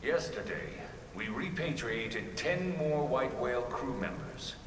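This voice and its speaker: A man's voice: mid-range voice, expressive, measured pace, close to an everyday voice. An actor playing a pompous male political leader in a video game, giving a grandiose formal speech to his citizens. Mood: grandly proud. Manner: grandiose, oratorical. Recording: echoing public-address speech, distant, heavy noise.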